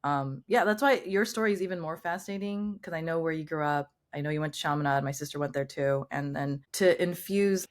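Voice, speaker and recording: Low-pitched woman hosting an interview. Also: fairly quick, few pauses. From Southern California. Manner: friendly, filler-heavy with "um" and "like". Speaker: podcast host. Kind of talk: interview hosting, scripted intro then chat.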